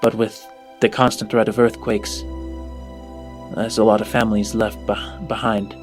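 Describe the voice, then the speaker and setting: Flustered woman running an orphanage played by a man, tabletop game, halting and evasive, music behind. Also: lowish voice, flat delivery, natural voice. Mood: earnest, sombre. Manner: halting, hesitant, hedging. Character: flustered, evasive female orphanage administrator giving a tour.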